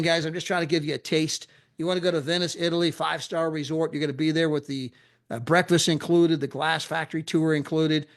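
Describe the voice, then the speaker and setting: A middle-aged American man giving an animated sales pitch for a travel club, piling up destinations and chuckling at his own asides. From USA.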